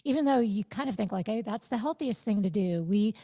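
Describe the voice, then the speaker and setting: A woman in her fifties, a podcast interview guest, talking in a reflective, animated way and stressing the thoughts she quotes. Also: medium pitch, fairly quick. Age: 50s.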